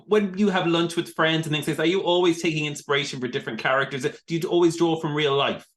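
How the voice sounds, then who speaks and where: A man's voice: a little high, fairly even intonation, quick pace. A man with an Irish accent, an effusive and informal interviewer in a chatty celebrity interview.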